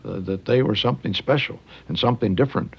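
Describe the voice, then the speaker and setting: An older man speaks in a very husky, creaky voice, measured and halting as he stresses key words. It is reflective interview commentary, recorded slightly dull. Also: mid-range voice.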